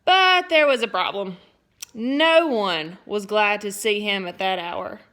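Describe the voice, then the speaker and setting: A woman teacher reading a picture book aloud to children, expressive and playful, breaking off for little asides to her listeners. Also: medium pitch, takes it slowly. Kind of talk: storybook read-aloud for children.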